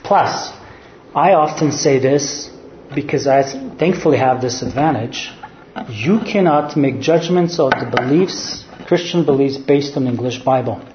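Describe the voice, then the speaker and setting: A man's voice: mid-range voice, a little slow, reverberant, dull audio, noisy background. A man from Serbia with a Serbian accent, a pastor preaching a sermon and making his points with rhetorical questions. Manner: earnest teaching, rhetorical questions, answers his own questions.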